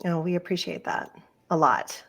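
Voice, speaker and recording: A woman hosting a faith podcast, her voice sitting a little low for a woman. She talks in an earnest, gushing way and leans on casual fillers as she chats with her guest. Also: moderate pace, a bit bright. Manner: earnest, effusive, lots of fillers. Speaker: podcast host. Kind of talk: casual interview chat.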